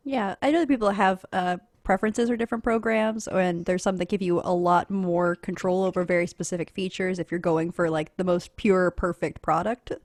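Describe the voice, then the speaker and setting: A woman's voice: fairly low pitch, steady tone, brisk, hardly any pauses, a little mellow, no echo, studio quality. A woman podcaster explaining her process in a casual, chatty panel style with a wry, self-deprecating manner.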